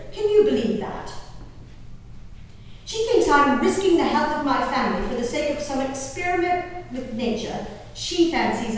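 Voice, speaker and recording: A woman performing a genteel, impassioned colonial-era lady diarist on stage, declaiming her journal with deliberate dramatic pauses. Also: sits high. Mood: indignant. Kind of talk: theatrical dramatic reading of historical diaries. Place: live stage performance in a reverberant hall. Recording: very echoey hall from far off, noisy.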